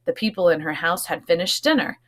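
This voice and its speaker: Animated woman teacher reading aloud. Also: on the low side, brisk. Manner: expressive, explanatory asides. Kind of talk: storybook read-aloud for young children.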